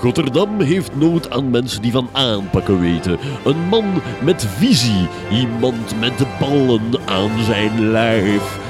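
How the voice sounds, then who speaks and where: An actor playing a pompous, theatrical mad doctor and demagogue with a Flemish accent in a gothic horror comedy radio play, orating grandly. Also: male voice, medium pitch, lively intonation, takes it slowly, music in the background. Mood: rousing, self-promoting.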